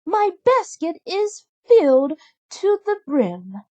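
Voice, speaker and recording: Sweet, cheerful young princess for a children's storybook, voiced by a woman. Gentle, pleased, slow delivery. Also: extremely high pitch, sing-song, dry recording, voice pushed up, heavily acted. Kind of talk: children's storybook read-aloud.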